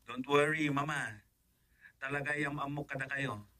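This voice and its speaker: A man's voice: medium pitch, fairly even intonation, moderate pace, sharp tone, dry recording, studio quality, natural voice. A man with a Filipino accent acting in a radio drama as an earnest son in love, eager to marry. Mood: earnest, reassuring. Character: adult son in love, eager to marry, reassuring his mother.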